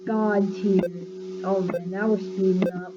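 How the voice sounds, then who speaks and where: A young woman hosting her own gaming channel, giving casual, deadpan commentary in a voice she calls monotone. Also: mid-range voice, a little slow, heavy background noise. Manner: deadpan, self-described monotone. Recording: dull lo-fi sound with loud music.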